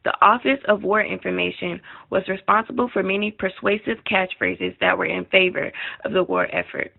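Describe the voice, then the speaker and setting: A woman's voice: mid-range voice, expressive, moderate pace, gravelly. A woman reading scripted documentary narration on a muffled, narrow-band recording.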